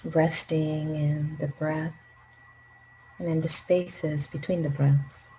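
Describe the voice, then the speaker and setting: A woman leading a guided meditation in a calm, gentle, unhurried manner with long pauses. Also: deep voice, a little hiss or hum, low-quality recording. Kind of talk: guided meditation, slow spoken instructions.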